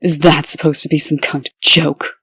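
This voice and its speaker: Woman playing a low, husky, determined official. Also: level tone, normal speed, a bit bright, no echo, clean studio sound, exaggerated voice. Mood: insistent, offended. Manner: precise, earnest, insistent. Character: formal, determined woman official, insistent and serious. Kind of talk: comic dub character acting.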